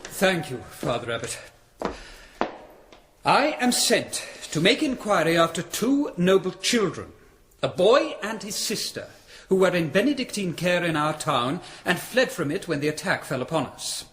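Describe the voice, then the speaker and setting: A man's voice: high voice, big pitch swings, measured pace, fairly bright tone, full character voice. A man playing an earnest, troubled monk envoy who speaks formally and anxiously in a radio drama.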